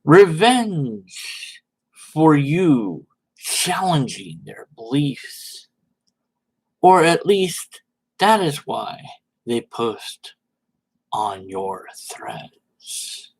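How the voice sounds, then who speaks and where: A pompous, self-righteous religious debater, as a man acts him out in a mock reading. He is condescending and melodramatic. His words come slowly, with pitch swooping widely. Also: sing-song, darkish tone, husky, full character voice, rough recording. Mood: haughty.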